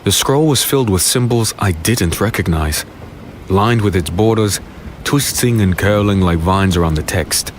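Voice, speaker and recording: A man acting as the brooding first-person narrator of a dark fantasy tale, solemn and descriptive. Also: lowish voice, normal speed, a little hiss or hum, lightly acted. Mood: ominous, uneasy. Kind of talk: scripted dramatic narration.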